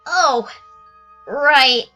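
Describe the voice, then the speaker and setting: A woman voicing a timid, nervous young female pony, halting and apologetic, in a cartoon-style audio drama. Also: high-pitched, lively intonation, pushed and bright, exaggerated voice. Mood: nervous, evasive. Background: music.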